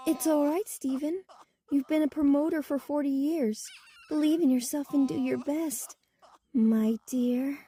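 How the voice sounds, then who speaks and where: A woman plays a gentle wife with a high, very soft-toned voice. She is tender and soothing, consoling in the manner of a dramatic manga dub. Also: fairly even intonation, measured pace. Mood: tender, reassuring.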